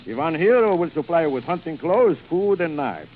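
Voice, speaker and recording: An actor playing a suave, gloating aristocratic general who hunts men for sport, theatrical and mock-courteous, in vintage radio style. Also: male voice, on the high side, sing-song, moderate pace. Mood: suavely menacing. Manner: theatrical, mock-courteous, savouring his words. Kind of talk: 1940s radio acting. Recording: old radio broadcast, tinny and noisy.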